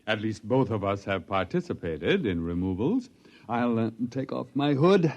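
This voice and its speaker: An actor playing an unflappable retired overlord in a 1950s radio drama, dry, wry and matter-of-fact. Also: male voice, lowish voice, very animated, normal speed, dull audio. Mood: calm, wry.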